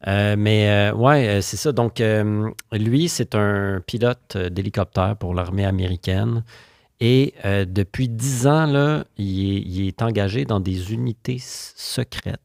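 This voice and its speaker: A man's voice: lowish voice, a little slow, creaky, a little mellow, no echo, clean studio sound. A man with a Quebec French accent hosting a casual humorous podcast, speaking in an informal, joking and hesitant way. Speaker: podcast host.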